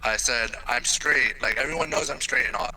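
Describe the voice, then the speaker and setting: A man's voice: medium pitch, moderate pace, pushed and bright, a little hiss or hum, poor audio. A young man as a podcast guest, brash and joking, swearing freely. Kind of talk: casual chat. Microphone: headset mic.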